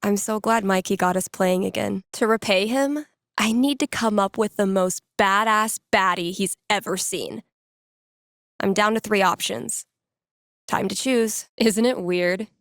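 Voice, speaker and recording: Casting: snarky, laid-back young woman for a video game, record-store geek type, slangy and sardonic, mid-pitched, recorded bone dry. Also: measured pace, fairly bright tone, no echo. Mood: casual, wry. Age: young adult. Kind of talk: video game character voice lines.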